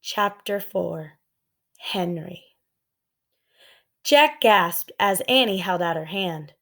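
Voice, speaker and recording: A woman reading a children's story aloud as expressive narration, with measured, suspenseful phrasing. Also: fairly low pitch. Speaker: storybook reader.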